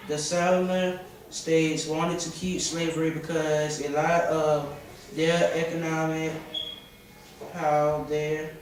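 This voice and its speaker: Boy, about twelve, student speaker: slightly high pitch, halting read-aloud delivery with stumbles, distant and noisy room recording. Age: 12-13 years old, seventh grader. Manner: halting, stumbles over words and corrects himself. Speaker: student contestant giving a speech. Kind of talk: read-aloud school speech. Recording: echoey room from far off, noisy background.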